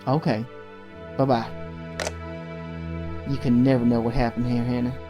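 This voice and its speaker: A man acting a protective, calming young man in an audio drama. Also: medium pitch, moderate pace, over music, close to an everyday voice. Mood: evasive, tender.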